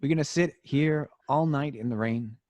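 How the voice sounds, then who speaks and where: An actor playing a nervous, grumbling accomplice in an old-time radio drama, stammering and complaining. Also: male voice, a little high, moderate pace, darkish tone, dry recording, natural voice. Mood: uneasy, sarcastic.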